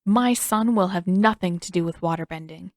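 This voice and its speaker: A woman's voice: lowish voice, moderate pace, a little mellow, no room sound, studio quality, close to an everyday voice. A woman voicing a hostile, protective mother in an audiobook, with an icy, clipped, menacing delivery. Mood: cold, menacing. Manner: icy, clipped, hissing threats. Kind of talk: audiobook character acting.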